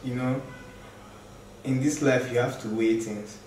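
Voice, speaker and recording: A young man, a guest explaining his view in a measured, thoughtful way. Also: mid-range voice, fairly even intonation, darkish tone, slight background noise. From Nigeria. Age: young adult. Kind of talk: casual group discussion. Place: living room, seated on a couch. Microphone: far camera. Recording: roomy echo from a distant mic.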